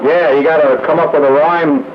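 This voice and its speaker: A man's voice: a little high, fairly even intonation, a little fast. A plain-spoken, matter-of-fact man chatting casually over the radio. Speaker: radio hobbyist in a group conversation. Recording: muffled, noisy two-way radio audio.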